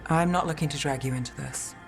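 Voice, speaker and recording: World-weary female private detective, low pitch, dry wit, game dialogue, faint music behind. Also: quick pace. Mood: wry, guarded. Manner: wry, understated.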